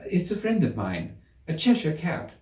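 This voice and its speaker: Man doing a young storybook girl, raised pitch, prim, earnest, plaintive, swooping delivery, echoey recording. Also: huge pitch swings, normal speed, a little mellow. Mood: polite, prim. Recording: roomy echo, distant mic.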